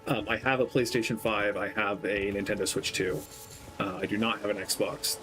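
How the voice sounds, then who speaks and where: A young man with a lowish, soft-spoken voice rambles gently while streaming a game. He talks in an easy, unhurried way, filling pauses with ums. Game music plays clearly underneath him. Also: little pitch movement. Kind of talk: casual gameplay stream chat. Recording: game music playing behind.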